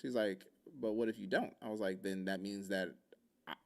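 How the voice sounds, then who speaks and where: A man's voice: lowish voice, steady tone, moderate pace, darkish tone. A young man from Oahu telling a casual story, acting out quoted lines in shifting voices. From Hawaii, Oahu. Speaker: podcast host.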